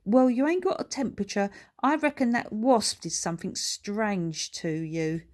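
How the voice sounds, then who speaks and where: A doting working-class mother, performed by a woman reader, high and swooping in pitch. She fusses and soothes in colloquial speech, as in a children's storybook. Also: sing-song, normal speed, a little mellow, natural voice. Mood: puzzled.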